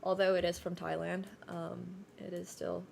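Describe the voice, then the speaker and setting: A woman's voice: fairly low pitch, level tone, normal speed, vocal fry, very noisy. A young woman whose first language is Chinese, chatting casually on a livestream, rambling with lots of fillers and self-corrections. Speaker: streamer.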